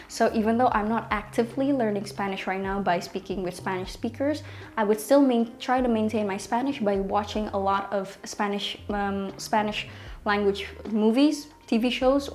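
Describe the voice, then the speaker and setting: A woman's voice: mid-range voice, steady tone, normal speed, darkish tone. Chatty young woman. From Indonesia. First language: Indonesian. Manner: chatty, many ums and restarts. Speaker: language teacher and vlogger. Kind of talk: casual advice vlog. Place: small bedroom indoors.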